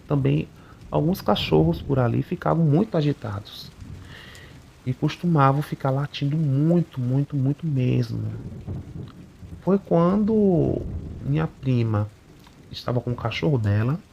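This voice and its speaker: A man narrating a first-person supernatural account in a conversational, unhurried way, often adding little tag questions. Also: fairly high pitch, vocal fry, mellow, slight background noise. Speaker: channel narrator reading a listener's account. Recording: muffled recording with faint rain behind.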